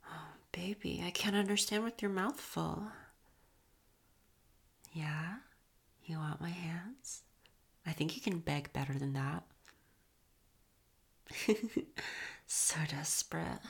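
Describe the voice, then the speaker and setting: Young woman whispering close, slow and teasing, low voice with some gravel in it. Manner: soft teasing whisper with breathy murmurs and chuckles. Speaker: audio roleplay performer. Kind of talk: intimate whispered audio roleplay.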